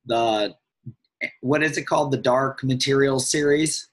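A man hosting a book discussion, hoarse and husky from a voice that is giving out. He speaks in measured, slightly formal phrases at an ordinary pitch. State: hoarse, losing his voice. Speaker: podcast host. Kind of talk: casual chat about books.

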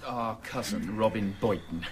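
An actor playing a mild, defensive gentleman in a radio drama, polite and reasonable as he explains himself. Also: lowish voice, measured pace, crowd noise, natural voice. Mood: placating. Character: mild, defensive, well-mannered gentleman cousin.

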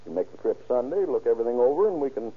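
An actor playing a smug, scheming insurance swindler in an old radio crime drama. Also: male voice, mid-range voice, very animated, very fast, slightly rough. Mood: plotting. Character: scheming, self-assured male insurance swindler, crooked adjuster. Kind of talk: 1930s-40s radio crime drama acting. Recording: muffled, scratchy old-time radio recording.

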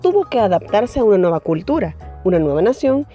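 A woman hosting a business podcast, reading a scripted introduction and asking interview questions in a polished, measured way. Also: mid-range voice, big pitch swings, warm tone, lo-fi sound, soft background music. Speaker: podcast host.

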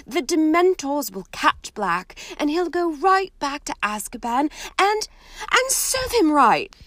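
A woman's voice: high-pitched, very animated, slow pace, a bit bright, no echo, voice pushed up, exaggerated voice. A woman voicing an anxious, earnest teenage girl who pleads and fusses. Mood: panicky.

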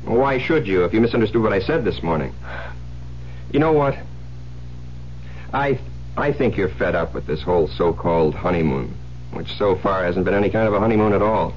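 An American actor playing a suspicious, domineering psychiatrist husband, insistent and pressing, in 1970s radio drama style. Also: male voice, low-pitched, animated, normal speed, long pauses. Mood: irritated. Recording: muffled, hissy old radio broadcast with hum.

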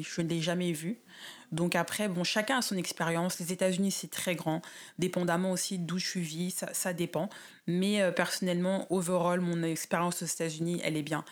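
A woman from the Paris suburbs in her thirties, talking casually as an interview guest with frequent pauses and restarts. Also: fairly low pitch. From France, Paris suburbs. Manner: thoughtful, many fillers and restarts.

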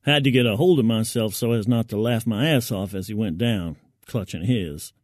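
A man's voice: on the low side, moderate pace, no echo. Man narrating gritty fiction, very dry sound. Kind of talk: dramatic first-person fiction narration.

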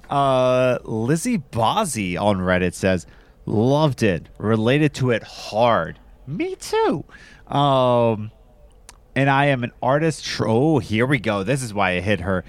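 A man hosting a casual podcast chat, losing his voice from a cold, rambling with lots of fillers and restarts. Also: fairly low pitch. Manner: rambling, many fillers and restarts, laughs often. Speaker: podcast host.

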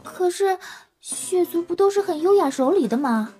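A woman's voice: very high voice, lively intonation, moderate pace, warm tone, faint music behind. A woman playing a timid, naive, easily flustered young human in an audio drama, speaking meekly and hesitantly. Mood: timid, worried. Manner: hesitant, meek. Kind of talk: Chinese audio drama acting.

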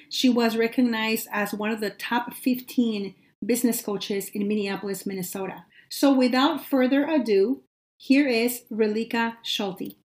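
Woman hosting her podcast, upbeat intro vibe, kinda high voice. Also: a little mellow. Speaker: podcast host. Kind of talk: podcast interview.

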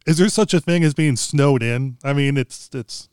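A man's voice: mid-range voice, moderate pace, darkish tone, clean tone, no echo, clean studio sound. A middle-aged American man hosting a podcast in a casual, conversational interview style. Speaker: podcast host interviewing a guest.

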